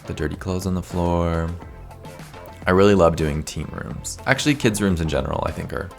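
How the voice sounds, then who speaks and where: Hoarse low-voiced man. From Canada. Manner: chatty, rambling, says "like" often. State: slightly hoarse, losing voice. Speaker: video game builder, channel host. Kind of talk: casual voice-over commentary.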